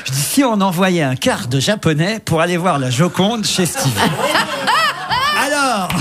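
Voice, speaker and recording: A man hosting a talk show, telling stories in an animated way and laughing often. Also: sits high, measured pace, faint music behind. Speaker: radio show host.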